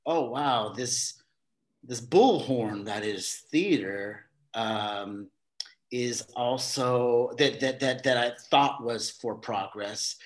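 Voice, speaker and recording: A man being interviewed about his theater career, talking in a halting way with many restarts and repeated words. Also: medium pitch. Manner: acts out quoted exchanges in a livelier voice. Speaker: theater director, podcast guest. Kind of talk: casual interview conversation.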